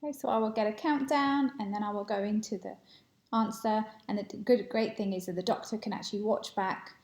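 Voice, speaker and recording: Mellow-voiced woman demoing software. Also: mid-range voice, steady tone, fairly quick. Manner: explanatory, frequent ums and uhs. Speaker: product presenter. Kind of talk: software walkthrough demo.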